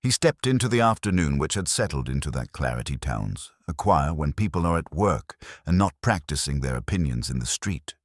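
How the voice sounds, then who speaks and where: A man with an exceptionally low voice narrates a mystery novel in a close, dry recording. His delivery is measured and understated, yet the pitch moves with lively variation through each phrase. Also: dry recording. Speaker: audiobook narrator.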